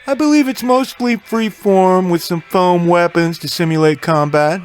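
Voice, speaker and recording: A man playing a friendly, encouraging game-store owner in an audio drama, chatty and expressive. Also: high-pitched, normal speed, faint music behind, lightly acted. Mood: helpful, easygoing. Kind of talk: audio drama character dialogue.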